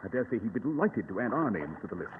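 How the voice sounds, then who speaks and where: An actor playing a commanding, keen-witted English gentleman detective, precise and clipped, with a British accent, in a 1940s radio drama. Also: lowish voice, sing-song, fast, phone-quality sound. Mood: wary. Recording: noisy, phone-thin vintage radio recording.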